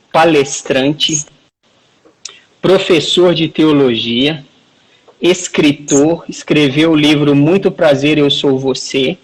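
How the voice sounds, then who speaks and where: A man's voice: fairly high pitch, poor audio. A man in his forties with a Minas Gerais accent, hosting a casual live video call from home, chatty and joking, with many restarts. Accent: Minas Gerais Portuguese. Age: 40s. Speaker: host of a live chat. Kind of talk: casual live-stream chat. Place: at home, near the wifi router.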